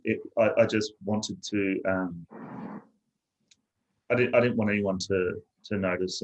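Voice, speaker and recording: A man from South Australia who is an interview guest, telling his own story in a soft-spoken, reflective and hesitant way. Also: on the low side, rough recording.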